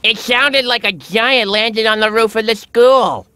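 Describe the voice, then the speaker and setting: A man's voice: high-pitched, a little slow, pushed and bright, clear, resonant tone, no echo. A man voicing a puzzled young goblin student in a children's cartoon. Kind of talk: animated children's story dialogue.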